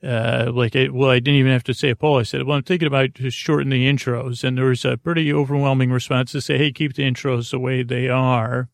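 Male sleep-podcast host, creaky low-key voice, dry close sound, meandering and self-mocking. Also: flat delivery, brisk, few pauses, no echo. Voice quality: soft creaky tones. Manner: rambling, easily sidetracked, self-mocking. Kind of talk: rambling bedtime podcast talk.

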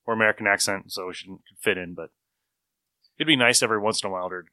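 A man's voice: lowish voice, fast talker, bright, forceful tone, no echo. A man hosting a podcast in casual, conversational discussion. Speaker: podcast host.

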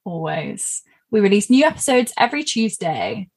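A young woman co-hosting a podcast in casual chat, with a husky, tired voice, laughing often. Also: medium pitch, wildly swooping pitch, normal speed. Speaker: podcast co-host.

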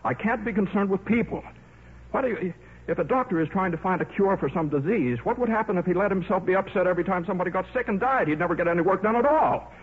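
An actor playing an absent-minded, single-minded middle-aged scientist in 1950s radio drama, halting and fussy, repeating himself and easily flustered. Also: male voice, sits high, lively intonation, slightly rough. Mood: agitated, insistent. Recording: narrow, noisy 1950s radio recording.

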